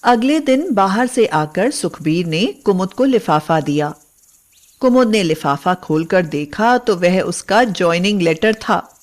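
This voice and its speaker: Female narrator for a moral tale, unhurried scripted delivery, medium pitch. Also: expressive.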